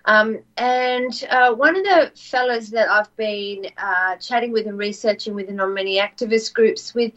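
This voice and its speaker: A woman co-hosting a podcast, English as her second language, telling a story in a soft voice with many ums and repeated words. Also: on the high side, moderate pace, hardly any pauses. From not said; lives in Australia. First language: not English. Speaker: co-host. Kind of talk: casual podcast chat, storytelling. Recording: dull lo-fi audio.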